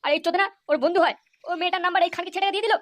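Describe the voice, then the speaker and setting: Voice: extremely high pitch, steady tone, very fast, fairly bright tone. A performer voicing a hot-headed young cartoon man who grumbles and blusters in a foul-mouthed, aggrieved way. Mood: angry, boastful. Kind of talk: comedy cartoon dubbing.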